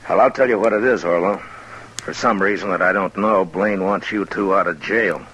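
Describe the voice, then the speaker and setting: Deep, steady western marshal. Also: male voice, breathy edge, dull audio. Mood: questioning, steady. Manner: measured, authoritative, plain-spoken. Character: stern, steady male U.S. Marshal of a western town. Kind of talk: old-time radio western acting. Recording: noisy old-time radio recording.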